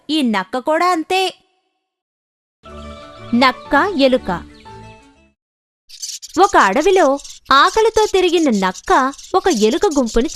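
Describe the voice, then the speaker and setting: A woman narrates children's fables in a scripted storytelling style. Her voice is high and very animated, with music behind it. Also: no echo.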